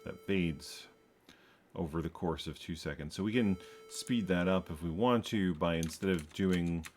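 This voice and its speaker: A man's voice: low voice, measured pace, darkish tone. A man hosting a programming tutorial, thinking aloud as he codes, tired, with many ums and uhs. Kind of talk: casual screen-recorded coding walkthrough.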